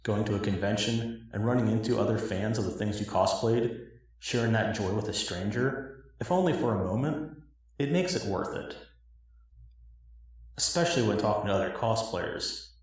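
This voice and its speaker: A man's voice: fairly low pitch, moderate pace, far from the mic. A man delivering a dramatic scripted monologue in a hobbyist game parody. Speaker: cosplay builder acting in own parody cutscenes. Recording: echoey, roomy recording.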